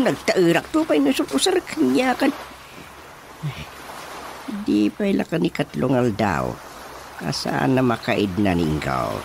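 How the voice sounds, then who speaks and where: A man's voice: mid-range voice, vocal fry, slight background noise, exaggerated voice. An actor with an Ilocano accent playing a scheming village chairman in a radio drama comedy. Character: village chairman, lively scheming male official. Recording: music behind the dialogue.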